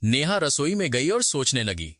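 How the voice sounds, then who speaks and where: A man narrating a cartoon story in an expressive, dramatic way. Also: medium pitch, moderate pace, no echo, studio quality.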